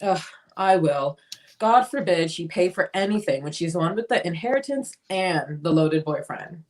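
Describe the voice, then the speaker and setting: A woman's voice: on the low side, expressive, normal speed, lightly acted. A woman playing a blunt, free-spirited, opinionated sister in a dramatic play reading. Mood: exasperated. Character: blunt, free-spirited sister pushing self-liberation on her sibling.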